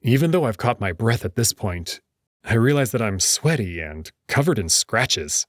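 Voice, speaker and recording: A man's voice: on the low side, very animated, moderate pace, no echo, studio quality. A man reading a first-person story as narrator in a dramatic storytelling style. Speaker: narrator and reader.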